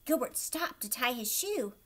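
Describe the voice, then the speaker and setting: A woman teacher reading a picture book aloud to children, expressively, pausing to explain and ask them questions. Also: fairly high pitch. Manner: expressive, asks young listeners questions.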